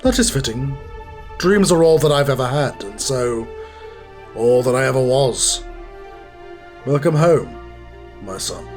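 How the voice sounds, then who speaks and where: An actor plays a wise, gentle, ancient maker of life. He speaks in a solemn, measured way at a middle pitch. Soft music plays behind him. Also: animated, close to an everyday voice. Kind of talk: audio drama acting.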